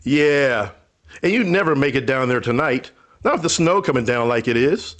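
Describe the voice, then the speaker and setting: An actor playing a kindly older rural storekeeper in a radio play, folksy, halting, hospitable and a little wistful. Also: male voice, mid-range voice, expressive, fairly bright tone, natural voice. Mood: folksy, reassuring. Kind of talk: old-time radio drama acting.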